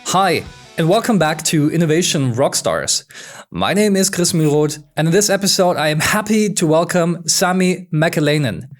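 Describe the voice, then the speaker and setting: A male podcast host with a slightly high voice, upbeat and chatty. He asks his questions with plenty of fillers and restarts. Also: animated, normal speed, soft background music. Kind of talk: podcast interview.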